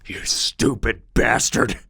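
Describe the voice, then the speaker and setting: A man voicing an unhinged young yuppie who talks in a tense, emphatic, shouting way. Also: fairly low pitch, a little slow, vocal fry, slightly rough, no room sound, studio quality, voice pushed up, heavily acted. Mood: frantic. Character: unhinged young male yuppie, desperate and intense.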